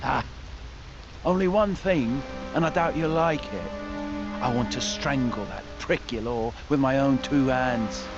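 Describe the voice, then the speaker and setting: A wry, grudging captive craftsman from a fantasy game, acted by a man. His voice sits at a middle pitch, in dull audio with music clearly underneath. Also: unhurried, lightly acted. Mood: bitter. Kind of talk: video game character acting.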